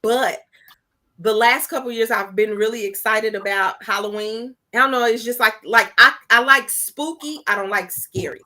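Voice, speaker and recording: A woman's voice: on the high side, normal speed, pushed and bright. A woman guest on a podcast, chatting casually and telling a self-mocking story with lots of expression. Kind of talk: casual chat.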